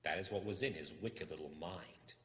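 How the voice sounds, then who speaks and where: A man narrating a suspenseful story in a deliberate, theatrical manner. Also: low voice, a bit bright. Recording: echoey room with long reverb.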